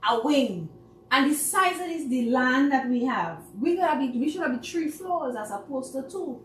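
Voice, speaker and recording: High, passionate Trinidadian woman. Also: expressive, normal speed. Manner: passionate, emphatic, laughs often. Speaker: guest, theatre producer. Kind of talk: casual talk show chat. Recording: roomy sound from a distant mic with faint noise.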